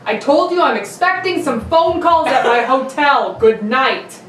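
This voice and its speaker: A woman's voice: sits high, unhurried. A woman playing a hostile, dismissive male masked vigilante in a 1940s radio serial reading. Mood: defiant. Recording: roomy, distant microphone with faint noise.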